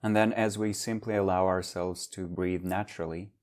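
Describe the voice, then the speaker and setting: A man leading a guided meditation as a teacher, speaking in measured, instructional phrases with long pauses. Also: sits low, steady tone, darkish tone.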